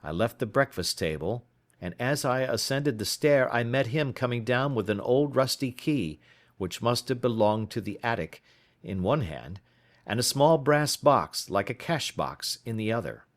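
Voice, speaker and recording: A man reading a first-person literary story as an audiobook narrator, in a measured, formal storytelling manner. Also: medium pitch, lively intonation.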